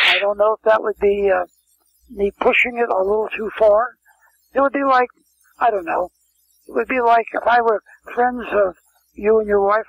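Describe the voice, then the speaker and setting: Older man, gravelly reminiscing storyteller. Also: high-pitched. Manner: rambling anecdotal, frequent restarts and asides. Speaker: interview guest. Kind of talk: casual storytelling interview. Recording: muffled lo-fi phone-quality sound.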